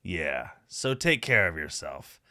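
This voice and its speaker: A man voicing a calm, worldly male fox adventurer, giving measured, matter-of-fact advice in a visual novel. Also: low-pitched, no room sound, clean studio sound, pitched below the performer's own voice, full character voice. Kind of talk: visual novel character read-aloud.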